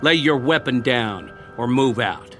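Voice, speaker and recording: An actor playing a weary, wry American ex-soldier, plain-spoken and coaxing, in a radio drama. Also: male voice, medium pitch, expressive, unhurried, fairly bright tone, a little hiss or hum. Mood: wry, firm. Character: weary, wry male ex-soldier surviving after war.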